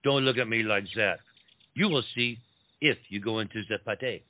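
An actor playing an urbane French marquis and officer, a loyal friend who coaxes and reassures, dropping French words into comic dialogue. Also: male voice, on the low side, moderate pace, no echo, narrow, tinny audio, natural voice. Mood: reassuring, urging. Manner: coaxing, sprinkles speech with French words. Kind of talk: theatrical comedy audio-play acting.

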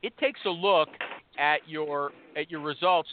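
A man's voice: a little high, measured pace, slight background noise. A man hosting a casual interview show, pushy and teasing, cutting in on his guest. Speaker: show host. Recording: tinny phone-line sound.

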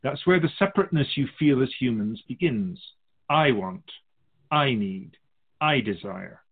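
A man's voice: medium pitch, lively intonation, measured pace, breathy edge, narrow, tinny audio. A man playing an otherworldly keeper of souls in a radio drama, a wise, teasing mentor who muses theatrically in rhetorical questions. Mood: lecturing. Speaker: spirit guide in a radio drama.

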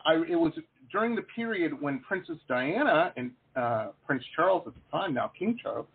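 A middle-aged man hosting a casual interview, rambling and animated, with lots of fillers. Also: fairly high pitch, normal speed, vocal fry, slightly rough. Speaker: host. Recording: phone-like lo-fi line.

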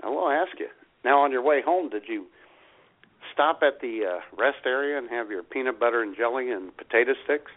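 A man's voice: mid-range voice, brisk. An American man co-hosting a talk show, bantering casually with dry, wry interjections. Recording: tinny, phone-like band-limited sound.

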